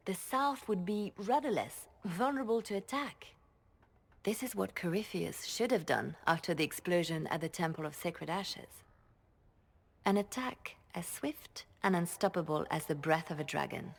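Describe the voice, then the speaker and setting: A woman playing a composed, discreet and cunning spymaster trained as a bard in a video game. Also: medium pitch.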